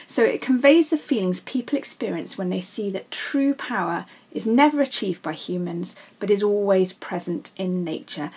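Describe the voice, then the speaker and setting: A woman gives a recorded lesson as a teacher, in a husky voice of medium pitch. She explains things informally and speaks straight to her students. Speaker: English literature teacher. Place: voice-over for a slide lesson.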